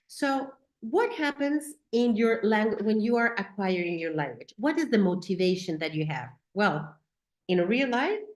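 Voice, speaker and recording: A woman whose first language is Spanish, speaking English with a Spanish accent as she gives a talk on teaching, often checking in with "right?" and "okay?". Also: medium pitch. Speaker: teacher trainer. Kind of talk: educational talk. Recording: echoey room.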